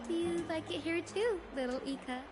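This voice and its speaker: An actress playing a polite, earnest young woman who speaks softly. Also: very high-pitched, moderate pace, music in the background. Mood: polite, warm.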